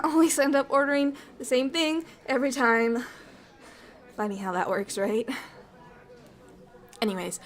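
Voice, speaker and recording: A woman voicing a bubbly, friendly alien ambassador in an ASMR roleplay, chatty, excitable and quick to apologise. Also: a little high, lightly acted.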